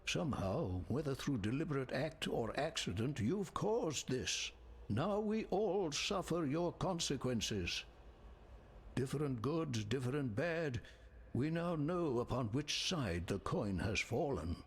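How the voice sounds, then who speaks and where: An actor playing a grave, scholarly man in a fantasy video game, speaking in measured, literary phrases with a husky voice. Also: medium pitch, animated. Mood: grave, accusing. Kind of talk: scripted video game dialogue.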